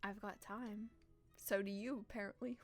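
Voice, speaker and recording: A woman live-streaming a visual novel, reading aloud and reacting casually in a playful, expressive, teasing way. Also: mid-range voice, normal speed, faint music behind.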